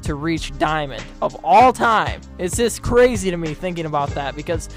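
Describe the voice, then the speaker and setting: A man's voice: fairly high pitch, lively intonation, normal speed. A young man hosting as a college radio DJ in an upbeat broadcast style. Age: young adult. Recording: music bed behind the voice.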